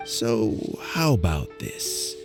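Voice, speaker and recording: A weary old game statesman with a low, gravelly voice. He is courteous and formal, yet his intonation moves widely, over faint music. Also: wildly swooping pitch, full character voice. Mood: considering. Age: older. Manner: formal, measured. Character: frail, courteous elderly statesman, weary and measured. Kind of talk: video game voice acting.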